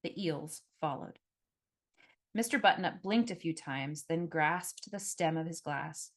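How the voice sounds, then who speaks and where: Lower-pitched woman narrating fiction expressively. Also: measured pace. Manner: expressive, dramatic storytelling. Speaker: author narrating own novel. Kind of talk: scripted first-person fiction narration.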